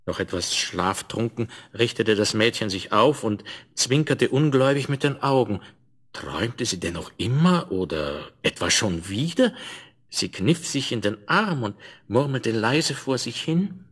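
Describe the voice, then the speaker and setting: A man narrating a scripted fairy tale for a 1970s audio play, with an expressive storyteller's delivery. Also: medium pitch, unhurried, husky.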